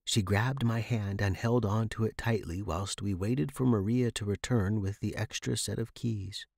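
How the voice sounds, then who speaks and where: A man's voice: on the low side, fairly even intonation, moderate pace, creaky, a little mellow, dry recording, studio quality. A man reading scripted horror fiction as the narrator.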